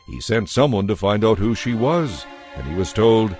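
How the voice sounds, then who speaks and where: A man narrating a dramatized audio Bible from a script, in a measured storytelling manner. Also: lowish voice, big pitch swings, darkish tone, soft background music.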